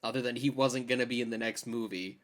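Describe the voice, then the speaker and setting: Guy on a podcast, mid pitched voice, chatty and emphatic, dude this like that. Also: steady tone, fast talker, a bit bright, slight background noise. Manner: slangy, emphatic, says "dude" and "like". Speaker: podcast co-host. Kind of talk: casual chat about games and films.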